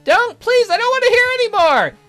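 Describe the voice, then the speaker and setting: A man's voice: extremely high pitch, big pitch swings, fairly quick, bright, forceful tone, soft background music, pitched above the performer's own voice, exaggerated voice. A man voicing a timid, devoted servant who stammers and pleads in distress. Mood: distraught.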